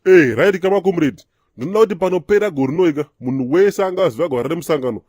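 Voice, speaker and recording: A man's voice: on the high side, expressive, rapid-fire, a little mellow, breathy edge, dry recording. A man voicing a self-important, quarrelsome Zimbabwean party comrade in a comedy cartoon, full of slogans and swearing. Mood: blustering.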